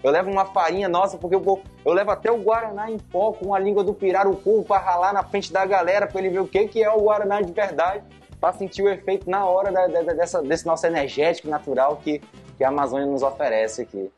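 A man's voice: sits high, steady tone, fast, darkish tone, muffled recording. A man in his thirties with a Manaus Brazilian accent, chatting in slangy interview talk through a cloth mask into a lapel mic. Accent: Manaus Brazilian Portuguese. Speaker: interviewee, graffiti artist. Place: indoor room, masked. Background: music.

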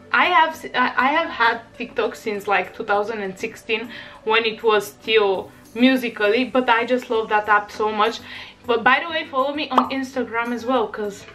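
A woman's voice: high voice, sharp tone. A teenage girl from Bulgaria with a Bulgarian accent, chatting to camera in her bedroom, bubbly and giggly with lots of fillers. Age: teens. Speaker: vlogger. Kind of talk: casual chat to camera. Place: small bedroom, indoors. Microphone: camera in front.

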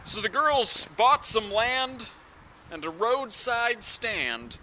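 Young man, theatrical dramatic reading, very sharp bright voice, deliberate pauses, slightly noisy outdoor recording. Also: sits high. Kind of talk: mock-serious dramatic reading of song lyrics. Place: outdoors in snowy woods.

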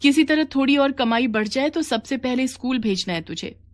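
Hard-pressed, stern yet loving mother for cartoon story, female performer; higher register. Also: expressive, moderate pace.

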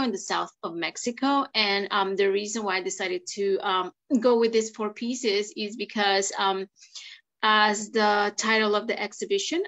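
A woman's voice: mid-range voice, fairly bright tone. A woman from El Salvador, giving an informal, enthusiastic artist talk with some searching for words. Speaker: visual artist and art instructor. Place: indoors on a video call. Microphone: video call microphone.

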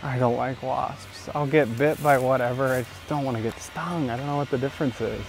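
A man in his twenties chatting casually and excitably as he hosts an outdoor vlog, rambling along into a lapel mic. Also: mid-range voice, a little fast, creaky, soft background music. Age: 20s. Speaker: vlog host and reptile enthusiast. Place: outdoors in open fields, some in a parked car. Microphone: clip-on lapel mic.

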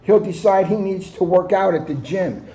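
Older guy in his 60s reading a kids book with jokey asides, high voice, mellow, kinda dull audio. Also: normal speed. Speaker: police officer reading a children's book. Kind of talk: picture book read-aloud with joking asides. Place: office, indoors. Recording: dull, lo-fi camera microphone.

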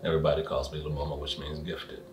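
A man tells his story emphatically, his voice extremely deep. He sits off the mic in a roomy, echoey space. Faint music plays underneath. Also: monotone, quick pace, recorded from a distance. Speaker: interviewee.

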